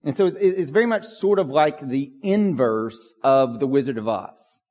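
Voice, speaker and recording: A hoarse man preaching in a loose, conversational manner, his voice sitting at an ordinary middle pitch for a man. The sound rings out with the long tail of a large church hall behind every phrase. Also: animated, moderate pace, a little mellow, muffled recording. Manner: conversational, loose, thinking aloud. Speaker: pastor. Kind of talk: prayer and sermon. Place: church sanctuary.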